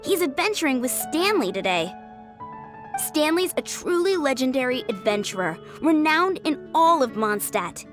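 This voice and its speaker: Eager, lively young knight trainee. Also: female voice, sits high, moderate pace. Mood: sheepish, earnest. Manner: earnest, excitable. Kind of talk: video game voice acting. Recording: background music.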